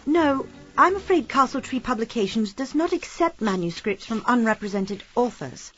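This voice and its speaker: An actress playing a prim, deferential secretary in a radio mystery, speaking formally and politely. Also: female voice, on the high side, animated, moderate pace, close to an everyday voice. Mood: brisk, formal. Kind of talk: radio mystery drama acting. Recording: dull band-limited audio with music.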